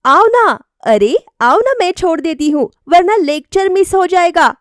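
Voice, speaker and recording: A spirited, headstrong young college woman from a cartoon tale, voiced by a female storyteller. She speaks very high and expressively, with an insistent edge. The recording is dry. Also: expressive, measured pace, a bit bright, no room sound, exaggerated voice. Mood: breezy. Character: lively, carefree, stubborn young college woman.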